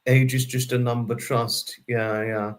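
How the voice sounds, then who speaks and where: Young guy in his 20s on a livestream, just chatting back at his viewers, rambles a bit, middle pitch. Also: flat delivery, a little slow. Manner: chatty, answers viewer comments by name; rambling, restarts number sums aloud. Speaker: livestream host. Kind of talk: casual livestream chat.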